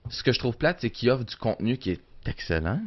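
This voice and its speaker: A man's voice: mid-range voice, big pitch swings, fast, dull audio. A man with a Québécois French accent, co-hosting a casual podcast chat, emphatic and opinionated, often repeating himself. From Quebec. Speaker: podcast co-host.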